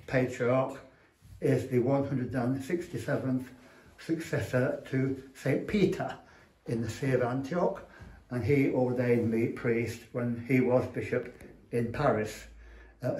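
Older English male, priest, slow and halting delivery, recorded in an echoey small room. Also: mid-range voice, dark tone. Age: older, around 70s. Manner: halting, measured, earnest. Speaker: Orthodox parish priest. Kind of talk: unscripted fundraising address to camera. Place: small indoor chapel room. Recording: roomy echo from camera mic.

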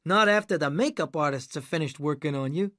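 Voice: fairly quick, no echo, studio quality, natural voice. A reader voicing a bossy adult male film director who barks orders in a raised, commanding voice. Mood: exasperated. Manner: loud, commanding, raised pitch. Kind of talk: audiobook character voice.

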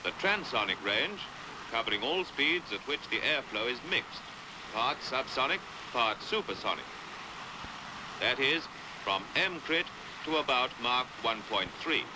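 A British man narrating a 1950s educational film in a precise, measured way, on a hissy old soundtrack. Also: mid-range voice, sing-song.

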